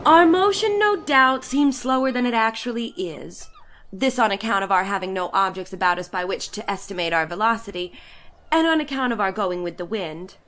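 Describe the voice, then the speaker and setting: A woman playing a bored, haughty, chatty letter-writer on a balloon voyage, in a theatrical, drawn-out dramatic story reading. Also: mid-range voice, expressive, fairly bright tone, slight background noise, natural voice. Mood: exasperated, dramatic. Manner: theatrical, drawn-out, exasperated sighing delivery.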